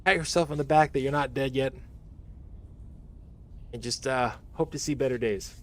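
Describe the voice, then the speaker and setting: A man chats through a livestream in an easygoing, rambling manner full of slang. His voice sounds a little worn from talking, sitting at an ordinary middle pitch. A faint hum of room ambience sits underneath. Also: moderate pace. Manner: laid-back, rambling, slangy. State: voice getting worn. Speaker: streamer reacting to a game presentation. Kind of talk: casual livestream chat.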